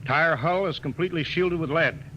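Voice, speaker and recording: An actor playing a steady male spaceship captain in a 1950s radio drama, giving crisp, gruff commands, on an old hissy broadcast. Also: a little high, a little fast, close to an everyday voice. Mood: reasoned. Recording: muffled old radio broadcast, loud hiss.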